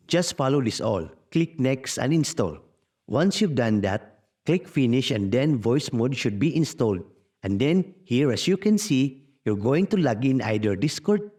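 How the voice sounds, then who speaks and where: Husky man with lively, swinging intonation. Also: medium pitch. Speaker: tutorial host. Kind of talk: casual step-by-step software tutorial narration.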